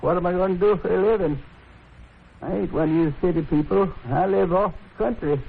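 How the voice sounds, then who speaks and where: An American man playing a proud, bitter, crippled buffalo hunter in a gruff, rustic 1950s radio western style, with a gravelly voice. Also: high voice, a little fast, exaggerated voice. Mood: bitter, resentful. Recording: tinny, faintly noisy old radio recording.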